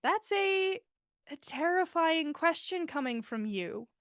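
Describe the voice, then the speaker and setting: A woman's voice: very high voice, animated, slow, a bit bright, dry recording, studio quality, pitched above the performer's own voice, full character voice. A woman reading as a shy, mild young man who loves plants, hesitant and stammering. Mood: wary.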